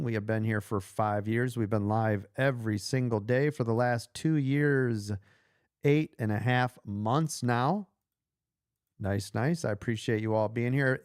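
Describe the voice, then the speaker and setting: A man's voice: mid-range voice, darkish tone, no room sound, studio quality. A man in his fifties hosting a casual livestream, chatty and upbeat, talking to viewers while he is losing his voice. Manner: upbeat, chatty, addresses viewers as "you guys". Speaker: livestream host and trading coach. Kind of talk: casual livestream sales pitch.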